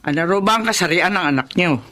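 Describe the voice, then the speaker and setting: A woman's voice: lowish voice, expressive, fast talker, bright, forceful tone. A woman playing a fretful, bossy mother of grown children in a radio drama, nagging and exclaiming. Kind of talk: Tagalog radio drama acting.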